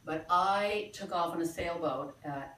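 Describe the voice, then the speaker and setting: A woman in her sixties from the Philippines, giving an earnest, halting presentation to a small group with many fillers. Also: on the low side, fairly bright tone, a little hiss or hum. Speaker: presenter at a sales meeting. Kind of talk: informal presentation. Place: meeting room. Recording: echoey room from far off.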